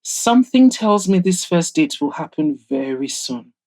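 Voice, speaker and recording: Woman acting an excitable, gushing young woman friend. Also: low voice, measured pace, exaggerated voice. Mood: bossy, teasing. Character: Sandra, excitable romantic young woman friend, teasing and frank. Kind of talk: audio drama character dialogue.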